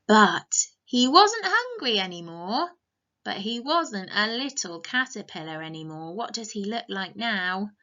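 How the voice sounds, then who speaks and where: A woman's voice: mid-range voice, sing-song, takes it slowly, sharp tone, muffled recording. A woman reading a picture book to young children as a teacher, in an encouraging, sing-song way with questions to the listeners. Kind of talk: interactive children's storytime.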